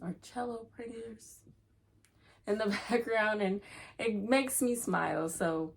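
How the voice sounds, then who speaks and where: A woman hosts a read-aloud for young children. She takes her time, with an unhurried pace and a middle-range voice. Her manner is chatty and playful, speaking to her listeners as friends. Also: medium pitch.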